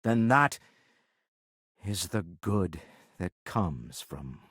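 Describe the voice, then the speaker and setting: An older man playing a wealthy, pragmatic businessman in a video game, speaking in an articulate, wry, measured way. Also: deep voice, expressive, fairly bright tone, husky, no room sound, studio quality, exaggerated voice. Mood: subdued. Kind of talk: video game character dialogue.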